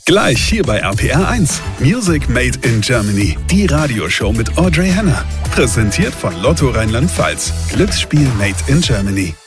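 A man's voice: mid-range voice, very animated, moderate pace. A man voicing station promos and jingles in an upbeat, emphatic radio announcer style. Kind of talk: punchy radio promo voice-over. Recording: music bed under voice.

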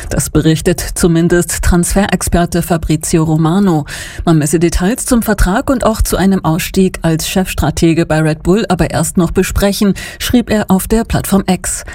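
Mellow low-voiced German female newsreader. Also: moderate pace, no room sound, clean studio sound. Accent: standard German. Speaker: radio news anchor. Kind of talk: scripted news reading. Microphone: close studio microphone.

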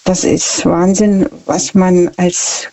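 Slow, mellow woman. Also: lowish voice, little pitch movement, low-quality recording. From Saxony, Germany. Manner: earnest, composed storytelling. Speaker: caller. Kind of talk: phone-in chat.

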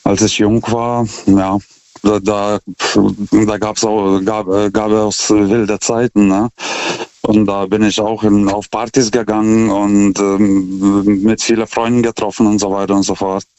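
Halting forty-year-old man, foreign accent, flat intonation. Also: lowish voice. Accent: non-native German. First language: not German. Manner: halting, stammering over word starts, often tagging with "ne". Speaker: radio phone-in caller. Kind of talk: unscripted, reflective call-in talk. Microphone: telephone. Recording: dull, band-limited phone call.

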